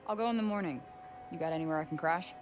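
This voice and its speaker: An actress playing a sarcastic, reluctant young heroine in a video game, with a casual, offhand delivery. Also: female voice, low voice, rapid-fire, lightly acted. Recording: game music behind the voice.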